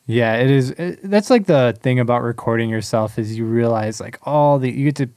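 A man's voice: medium pitch, moderate pace, a little mellow, no room sound, clean studio sound. A man co-hosting a podcast in easygoing, conversational chat, laughing often and leading the talk with questions. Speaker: podcast co-host. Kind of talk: casual chat.

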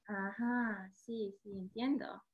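Halting woman host searching for words. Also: mid-range voice, fairly even intonation, phone-quality sound. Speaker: podcast host. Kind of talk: casual conversation in learned Spanish.

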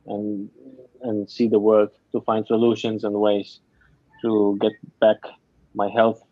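A man who grew up in Afghanistan and Germany, a mental training coach telling his story haltingly in an interview, often restarting his sentences. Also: lowish voice, no room sound, narrow, tinny audio. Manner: halting, searching for words, with many restarts. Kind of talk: casual interview talk.

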